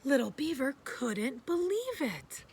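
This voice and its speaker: A woman reading a children's picture book aloud in a gentle, expressive storytelling style. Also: sits high, slow.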